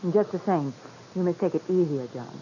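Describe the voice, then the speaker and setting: A woman plays a doctor's devoted wife in an old radio drama. Her mellow voice is anxious and caring, gently pressing in 1940s style. The sound is muffled, with faint noise behind it. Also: fairly low pitch, a little fast, lightly acted. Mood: concerned.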